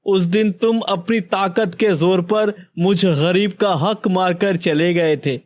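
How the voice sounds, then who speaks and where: A man's voice: sits high, slow pace, a little mellow. A man doing a poor frightened fisherman. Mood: tearful. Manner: tearful, pleading. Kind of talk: Hindi cartoon voice acting.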